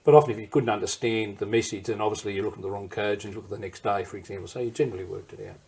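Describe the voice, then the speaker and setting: A man's voice: on the low side, fairly even intonation, rapid-fire, gravelly, darkish tone, breathy edge. An older man with an Australian accent telling war memories in an interview, in a rambling, anecdotal way that wanders off and circles back. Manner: rambling anecdotal, digresses and restarts. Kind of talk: recorded interview storytelling.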